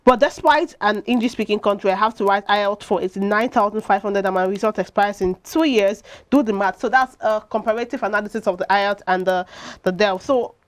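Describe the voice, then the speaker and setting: A woman's voice: medium pitch, quick pace. A woman with a Nigerian accent hosting a morning talk show, reading social media posts aloud to her co-host and guest. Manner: upbeat, reads posts aloud, thanks viewers. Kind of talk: studio talk show presenting.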